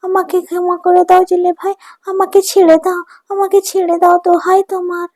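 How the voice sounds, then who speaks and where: A woman voicing a small, helpless talking mother fish in a cartoon, imploring and gentle in her words. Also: extremely high pitch, flat delivery, brisk, no room sound, studio quality. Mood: begging, frightened. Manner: imploring, repetitive begging. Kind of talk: cartoon dubbing. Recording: music under the voice.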